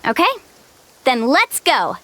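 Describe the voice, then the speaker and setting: A woman's voice: high-pitched, sing-song, takes it slowly, fairly bright tone, exaggerated voice. A woman voicing an upbeat, confident young female surfer guide who encourages her group in an anime video game. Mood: earnest. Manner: encouraging, exclamatory, leads the group.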